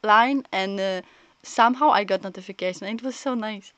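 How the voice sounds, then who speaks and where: A woman live streamer chatting casually while playing a story game, rambling and halting as she thinks out loud, with game music behind her. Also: mid-range voice, smooth, dry recording.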